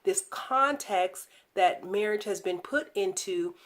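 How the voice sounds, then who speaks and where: A woman hosting a relationship video blog, speaking in a reflective, deliberate monologue with emphatic phrasing. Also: medium pitch.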